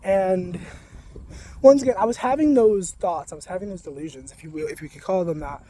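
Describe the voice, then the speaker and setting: A young man talking casually to camera in a soft-spoken, rambling way, full of "like". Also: high-pitched, fairly quick, a little hiss or hum. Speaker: spiritual vlogger.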